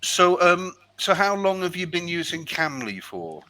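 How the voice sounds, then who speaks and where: A man's voice: high voice, very animated, measured pace, bright, forceful tone, no room sound. A British man tutoring English over a video call, friendly and chatty, explaining things step by step. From United Kingdom. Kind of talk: online tutoring chat.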